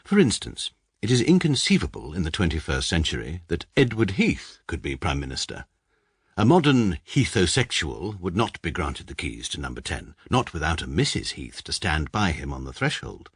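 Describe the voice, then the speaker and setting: Comic radio play: a deadpan barrister narrator, very deep, slightly husky. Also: male voice, moderate pace, no room sound, clean studio sound, exaggerated voice. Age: young adult. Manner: deadpan, precise, self-mocking. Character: dry, pedantic male barrister narrating his life.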